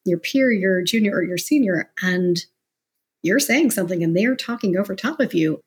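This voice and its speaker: A woman with a mid-pitched, slightly husky voice. She talks like a guest in a candid interview, in a conversational and discursive way. She is animated when she explains things. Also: moderate pace. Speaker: interview guest, business professional.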